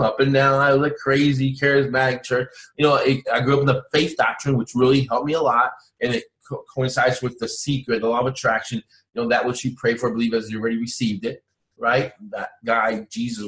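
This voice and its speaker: Rambling, animated Cuban-born man. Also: medium pitch, reverberant, low-quality recording. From Cuba. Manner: rambling, animated, many restarts. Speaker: interview guest. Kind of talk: casual interview chat.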